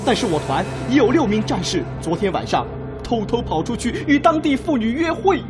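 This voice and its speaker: A man's voice: very high voice, big pitch swings, a little fast, over music. An actor playing an anxious male Red Army regimental officer, giving an urgent report in a radio drama. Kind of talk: Chinese radio drama acting.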